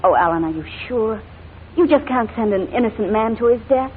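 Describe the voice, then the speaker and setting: A woman playing a gentle, caring wife in 1940s radio drama, speaking earnestly and affectionately. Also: a little high, animated, brisk, lightly acted. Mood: earnest, pleading. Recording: tinny, noisy 1940s radio recording.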